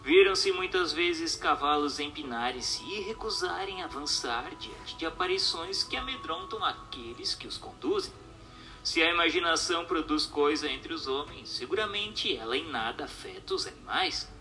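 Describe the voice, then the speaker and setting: A man's voice: on the high side, animated, moderate pace, sharp tone, faint music behind. A man narrating a scripted, dramatized book reading in a formal, declamatory way, posing questions and reading out commentary.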